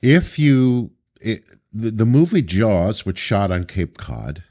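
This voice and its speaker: A man from Brooklyn, a theater director being interviewed, with a deep voice and a thoughtful, storytelling manner over a video call. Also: big pitch swings, slow, darkish tone. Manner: thoughtful, anecdotal, hesitant starts. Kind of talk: casual interview.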